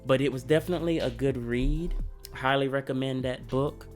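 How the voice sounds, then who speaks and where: A man's voice: mid-range voice, fairly even intonation, moderate pace, faint music behind. A man hosting a history channel, talking in an earnest, conversational way while he comes down with a cold. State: coming down with a cold. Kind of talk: reflective history commentary.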